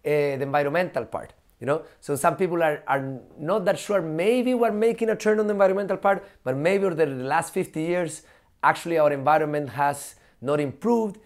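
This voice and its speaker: A high-pitched man, chatting as a podcast guest. He talks in an animated, loose way, full of fillers, laughs and the odd swear word. His voice sits well above the usual male range. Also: fairly quick. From Chile. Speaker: guest, professor. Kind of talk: casual podcast conversation.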